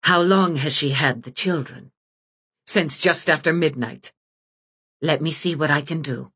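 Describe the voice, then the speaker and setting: A woman doing a calm psychologist. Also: sits low, husky, heavily acted. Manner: calm, measured.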